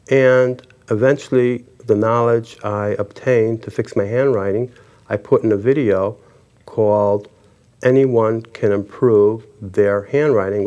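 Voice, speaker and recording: A middle-aged man hosting an interview show, telling a personal story in a halting way. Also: lowish voice, a little mellow, lo-fi sound. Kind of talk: interview conversation.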